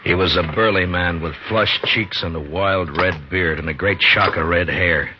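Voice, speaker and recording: An actor playing a weary frontier marshal, narrating a 1950s radio western in a brooding, terse way. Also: male voice, low voice, moderate pace, slight background noise, lightly acted. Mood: low, wary. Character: weary, grim frontier marshal recalling a hard ride. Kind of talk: 1950s radio drama first-person narration. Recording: dull 1950s radio recording, loud music.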